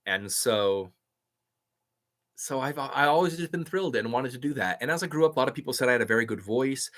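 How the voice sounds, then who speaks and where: A man's voice: medium pitch, expressive, fairly bright tone. A man who is a voice actor, chatting upbeat on a live stream and reading out viewers' comments. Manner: upbeat, chatty, quick to laugh. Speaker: YouTuber and voice actor.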